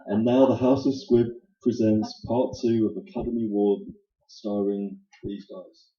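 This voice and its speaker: A man's voice: fairly low pitch, steady tone, measured pace, warm tone. A man announcing in 1940s radio style, with polished, salesmanlike delivery. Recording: muffled sound in echoey room.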